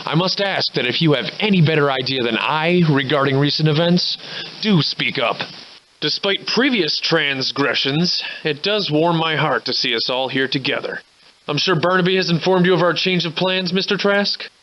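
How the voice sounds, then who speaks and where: A man's voice: a little high, natural voice. A man voicing a surly, hard-drinking, grandiloquent survivor in a video game, with florid old-fashioned diction, in slightly muffled audio. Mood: formal, wry. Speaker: video game protagonist voice actor. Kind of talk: theatrical video game character dialogue.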